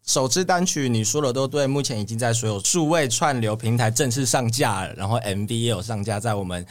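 A young man of about nineteen speaks at an average pitch. He is a singer chatting casually as an interview guest. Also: brisk.

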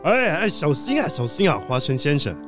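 A man playing a polite, fussy gentleman treasure collector in a children's audio drama, with a courteous, slightly hesitant manner. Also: on the high side, very animated, a little fast, over music. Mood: worried.

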